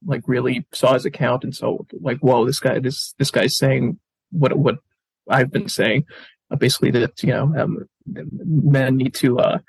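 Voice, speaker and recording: Male interview guest, husky and creaky, halting delivery full of repeated words, close dry sound over a video call. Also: medium pitch, steady tone, no room sound, poor audio. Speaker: podcast guest. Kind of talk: casual interview talk.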